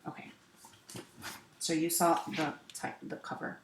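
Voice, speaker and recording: Slow-paced woman reading aloud in an echoey room. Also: sits low, monotone, background noise. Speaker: teacher reading aloud to pupils. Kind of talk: read-aloud storybook narration with teaching asides. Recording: roomy, echoing sound.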